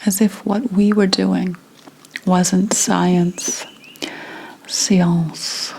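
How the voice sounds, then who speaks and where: A woman playing a secretive, indignant 17th-century witch in a breathy whispered ASMR roleplay, speaking deliberately with dramatic pauses. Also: fairly low pitch, little pitch movement, a little mellow, slight background noise, full character voice.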